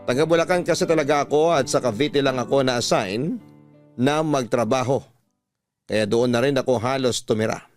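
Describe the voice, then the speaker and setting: A man's voice: medium pitch, normal speed, soft background music. A man narrating a love story in the first person on radio, reading it in an emotional, earnest way. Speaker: letter reader and narrator.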